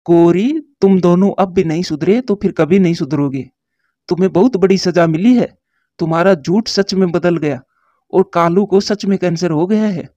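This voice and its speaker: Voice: mid-range voice, normal speed, mellow, no room sound, natural voice. A voice actor playing a male owl doctor in a cartoon, grave and lecturing. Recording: dull lo-fi voice track.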